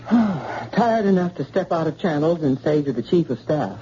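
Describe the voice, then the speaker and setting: A tired young doctor with a dry, weary humor. The voice is mid-pitched and dark, with lively swings. The sound is a muffled old radio broadcast with faint hiss. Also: a little slow. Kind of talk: 1940s radio acting.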